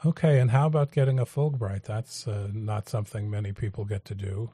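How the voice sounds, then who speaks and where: A male radio talk show host, interviewing guests in a measured, halting way with frequent fillers. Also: on the low side, steady tone, mellow, slightly rough, no echo. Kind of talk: public affairs interview.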